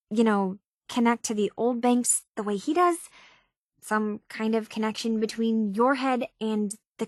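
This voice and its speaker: Young female runaway played by an actress, game dialogue: earnest, anxious, curious, thoughtful; slightly high pitch, dry studio sound. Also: a little mellow, no echo, lightly acted. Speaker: video game voice actor. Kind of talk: naturalistic video game dialogue.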